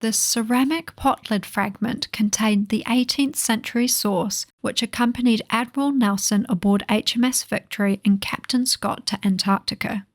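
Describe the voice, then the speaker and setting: A woman's voice: on the high side, fairly even intonation, moderate pace, hardly any pauses, no echo, studio quality. A woman narrating a documentary from a script. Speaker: presenter, narrator.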